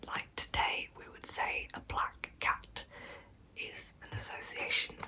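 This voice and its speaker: Slow, whispering ASMR woman storyteller. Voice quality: soft, breathy unvoiced whisper. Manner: measured reading with wry, informal asides. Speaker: ASMR storyteller and channel host. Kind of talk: whispered ASMR true crime narration.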